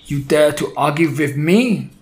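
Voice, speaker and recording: A man's voice: medium pitch, lightly acted. Man voicing a tyrannical, screaming witch queen. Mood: furious, demanding. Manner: imperious, screaming, drawn-out menacing delivery. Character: tyrannical female Grand High Witch, cruel and furious. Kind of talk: dramatic children's audiobook character voice.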